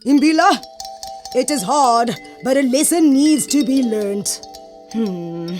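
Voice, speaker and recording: A woman with a South African accent playing a stern, teasing god in children's audio theatre, lecturing deliberately with musing hums. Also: high-pitched, animated, darkish tone, faint music behind. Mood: solemn judgement. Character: stern, teasing, all-knowing god of the mountain.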